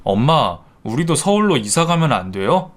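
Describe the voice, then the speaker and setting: A man's voice: mid-range voice, sing-song, normal speed, lightly acted. A man voicing a young country boy pleading with his mother. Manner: pleading, whiny.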